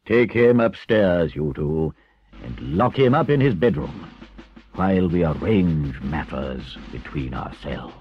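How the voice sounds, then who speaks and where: Man voicing a stern, solemn old badger, slow and emphatic. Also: deep voice, husky, no room sound, lo-fi sound, heavily acted. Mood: firm. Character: stern, righteous older male badger, solemn and commanding.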